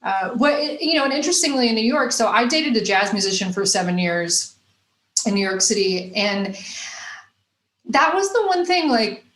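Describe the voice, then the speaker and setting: A woman's voice: on the high side, expressive, moderate pace, a bit bright. A woman from Nashville with a faint Southern accent, talking through her stories as a guest comedian in a loose, rambling way, full of "you know" and "like.". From Nashville, Tennessee. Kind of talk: casual interview chat. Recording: roomy echo.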